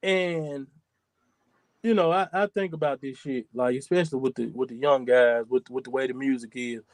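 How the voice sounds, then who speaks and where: A man's voice: medium pitch, steady tone, moderate pace, no room sound. A man in his forties hosting a podcast, talking in a loose, profane, rambling way. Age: 40s. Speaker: podcast host. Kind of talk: casual chat.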